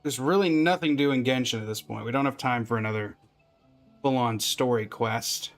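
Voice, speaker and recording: A man's voice: medium pitch, normal speed, soft background music. A man chatting casually to viewers as he hosts a live gaming stream. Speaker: livestreamer.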